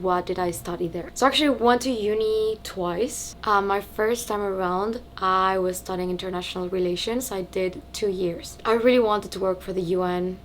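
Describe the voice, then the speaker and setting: A woman's voice: medium pitch, slight background noise. A young woman from Mexico chatting casually while doing her makeup, often doubling words for emphasis. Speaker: beauty vlogger. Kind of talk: casual get-ready-with-me chat. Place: home, living in Spain.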